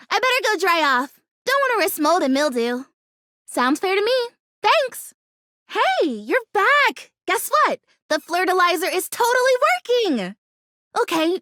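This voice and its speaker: A woman's voice: very high voice, no echo, studio quality, exaggerated voice. A woman voicing a perky, earnest young female houseplant in a video game, chatty and bubbly in manner. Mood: disappointed but hopeful, then bubbly, excited. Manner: bubbly, earnest, chatty, self-encouraging, with stretched excited words. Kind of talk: video game character voice acting.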